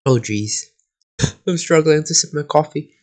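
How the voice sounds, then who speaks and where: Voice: mid-range voice, a little slow, a little mellow. A video game streamer giving casual gameplay commentary, thinking aloud and muttering with the odd swear word.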